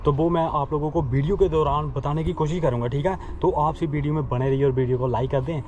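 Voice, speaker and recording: A young man with a fairly high voice talks chattily to his viewers and keeps repeating his phrases. His voice comes through a dull, muffled helmet-camera recording. Clear street noise sits behind him. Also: steady tone, fast talker, darkish tone. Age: young adult. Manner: chatty, repeats himself, addresses viewers as guys. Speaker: motovlogger. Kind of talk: casual vlog chat. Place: outdoors on city streets riding a motorbike, and at a house doorway. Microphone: helmet-mounted action camera.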